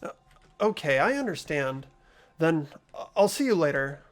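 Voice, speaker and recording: A performer voicing an earnest, animated teenage schoolboy. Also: medium pitch, moderate pace, natural voice. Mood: gentle concern. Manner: hesitant, questioning delivery. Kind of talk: fan voice acting of a visual novel game.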